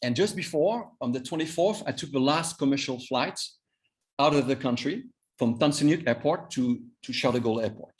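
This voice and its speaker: A man in his fifties with a French accent, a native Vietnamese speaker, giving an informal training talk as a coach, haltingly and repeating words for emphasis. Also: mid-range voice. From Vietnam and France. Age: 50s. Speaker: cross-cultural trainer and coach.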